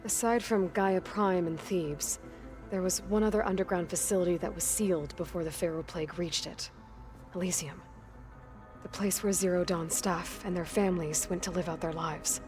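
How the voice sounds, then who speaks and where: A woman's voice: lowish voice, fairly even intonation, moderate pace, darkish tone, faint music behind, close to an everyday voice. A woman voicing a determined young female warrior in a video game, wry and plain-spoken. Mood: wry, curious. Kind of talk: scripted video game dialogue.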